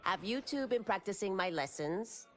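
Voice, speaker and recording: A woman's voice: mid-range voice, expressive, normal speed, fairly bright tone, lightly acted. An actress playing a fond, motherly village restaurant keeper and mentor in a video game, affectionate and indulgent. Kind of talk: cinematic video game acting.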